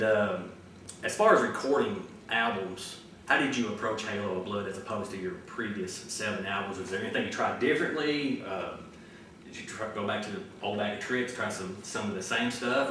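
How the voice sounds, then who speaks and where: A man's voice: fairly low pitch, brisk, bright, forceful tone. A man with a Tennessee accent interviewing a musician, asking long, chatty, wandering questions. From small town in Tennessee. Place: outdoor festival grounds. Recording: echoey and distant, faint noise.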